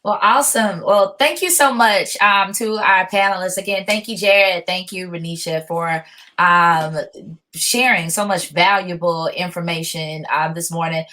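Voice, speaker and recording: A woman's voice: fairly low pitch, a little slow, sharp tone. A woman hosting a seminar, making informal announcements in a polite, improvised way. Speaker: host, moderator.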